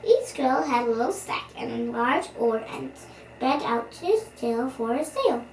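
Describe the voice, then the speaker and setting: A child reading a storybook aloud for young listeners as host, in an expressive, playful manner. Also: high-pitched, unhurried. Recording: roomy, distant mic, faint noise.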